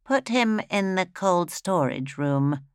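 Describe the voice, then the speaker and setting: A woman voicing a detached male doctor giving orders in a brisk, matter-of-fact way. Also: lowish voice, lively intonation, clean tone, no echo, clean studio sound, pitched above the performer's own voice. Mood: clinical.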